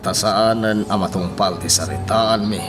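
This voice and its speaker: A grave, concerned father in a radio drama, played by a man. He counsels his daughter in a low voice over background music. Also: moderate pace, lightly acted. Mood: pleading.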